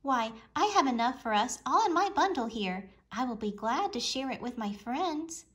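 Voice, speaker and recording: A woman's voice: sits high, lively intonation, moderate pace, a bit bright. A woman acts out a sly, self-pleased male duck from a children's storybook. The voice is raised and breezy, exclaiming with put-on innocence. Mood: feigned innocence. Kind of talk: children's storybook read-aloud.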